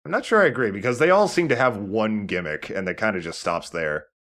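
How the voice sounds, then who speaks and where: A man with a fairly low voice talks casually as a let's play host. His delivery is conversational and halting, as he works out his thoughts about games while speaking. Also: lively intonation, a bit bright. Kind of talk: casual gaming commentary.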